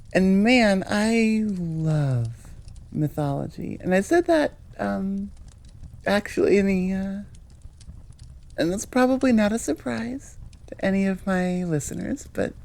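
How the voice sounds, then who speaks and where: A woman's voice: mid-range voice, creaky, a little mellow. A woman hosting her audio channel, chatting casually to listeners in an enthusiastic, rambling way and often interrupting herself. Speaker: audio roleplay creator and host.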